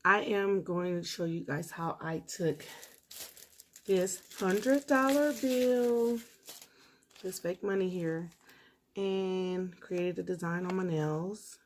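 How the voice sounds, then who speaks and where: A woman's voice: on the low side, slow pace, a little hiss or hum. A woman losing her voice, chatting casually with viewers while she does a nail tutorial at a table at home. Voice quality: husky, gravelly. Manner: chatty, talks straight to viewers while working.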